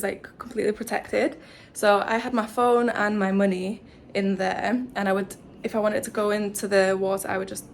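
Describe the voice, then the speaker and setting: A young woman from England with an English accent, chatting casually to camera as a travel vlogger, full of "like" and "um" and quick laughs. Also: mid-range voice, a little hiss or hum. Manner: chatty, lots of "like" and "um", laughs often. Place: studio flat.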